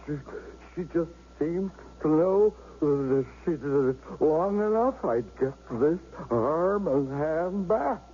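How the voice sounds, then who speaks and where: A man acting a husband who is regaining the use of his arm in a 1970s radio drama, talking haltingly and stammering. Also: fairly high pitch, expressive, dark tone. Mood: grateful. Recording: dull vintage radio recording with faint hiss.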